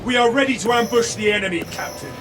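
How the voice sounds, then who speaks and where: A man's voice: sits high, animated, fast talker. An actor playing a disciplined male warrior-monk squad leader who speaks formally and rallies his brothers. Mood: resolute. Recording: game audio over loud music.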